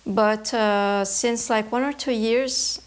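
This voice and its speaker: A woman's voice: on the high side, fairly even intonation, slow. Thoughtful woman from Canada. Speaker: embroidery artist interviewee. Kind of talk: reflective interview answers.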